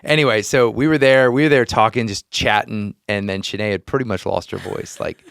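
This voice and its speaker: A man's voice: lowish voice, fairly even intonation, fairly quick, gravelly, a bit bright, clear, resonant tone, no room sound, studio quality. A man hosting a faith podcast, telling a story earnestly with frequent restarts and laughs. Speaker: podcast host. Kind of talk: casual faith chat. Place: podcast studio.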